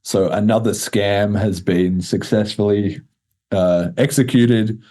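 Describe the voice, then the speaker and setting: A man's voice: fairly low pitch, steady tone, slow pace, mellow. A man hosting a podcast in a casual, chatty style with informal, slangy talk. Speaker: podcast host.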